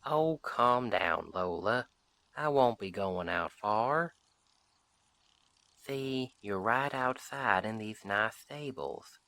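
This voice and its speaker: A man playing a friendly, protective Old West sheriff in a roleplay audio drama, talking to the listener in folksy, unhurried western phrasing. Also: fairly low pitch, expressive, gravelly, bright, forceful tone, full character voice. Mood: fond, coaxing.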